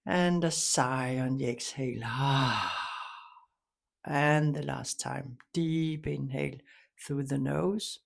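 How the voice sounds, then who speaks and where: A woman leading a guided meditation, giving soft instructions in a calm, soothing, unhurried way with long pauses. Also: very deep voice, gravelly.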